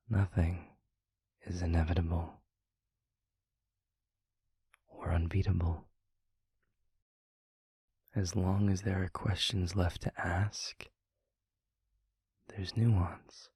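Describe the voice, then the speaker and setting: Man playing a husky, slow-speaking demon lover. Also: low-pitched, level tone, warm tone, no room sound, heavily acted. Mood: tender, earnest. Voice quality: breathy, husky, gravelly murmur. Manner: slow, florid, deliberate phrasing. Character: tender, eloquent male demon lover, wry and devoted. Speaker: audio roleplay voice actor. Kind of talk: intimate whispery audio roleplay.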